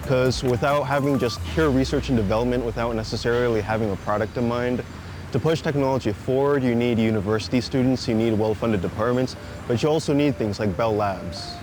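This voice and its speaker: A man's voice: mid-range voice, brisk, over music. A man giving casual, explanatory remarks in an interview recorded outdoors in a city.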